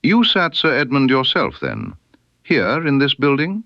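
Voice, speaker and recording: A man voicing a keen, composed detective in an audiobook story, with precise, deliberate and quietly probing speech. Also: medium pitch, wildly swooping pitch, gravelly, dry recording, dull audio, voice pushed up, full character voice. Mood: pleasantly probing. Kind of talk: audiobook character dialogue.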